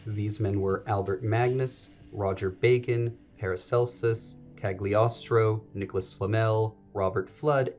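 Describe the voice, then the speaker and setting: A man's voice: on the low side, darkish tone, lo-fi sound. A man hosting an educational podcast, talking through history in a chatty, digressive way and correcting himself as he goes. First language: English. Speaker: podcast host. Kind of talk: casual educational monologue.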